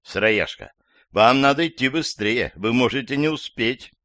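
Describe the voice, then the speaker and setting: A man's voice: medium pitch, animated, moderate pace, a bit bright, no room sound, studio quality, lightly acted. A man reading the part of a commanding police major who gives terse instructions in an audiobook. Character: commanding male police major directing an agent by earpiece.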